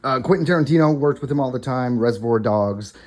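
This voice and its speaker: A man's voice: mid-range voice, fast, darkish tone. A man hosting a podcast in a hotel room, chatting informally to listeners and running through updates in a casual way. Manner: informal, addressing listeners directly as guys. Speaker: podcast host. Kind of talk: casual solo podcast chat with news updates. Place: hotel room while on vacation.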